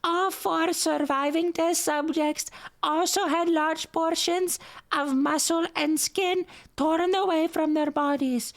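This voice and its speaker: A teeny giggly cartoon lemur, super high squeaky voice, reading scary stuff real slow. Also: male voice, fairly even intonation, exaggerated voice. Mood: gleefully creepy. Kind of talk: creepy story narration in cartoon voice.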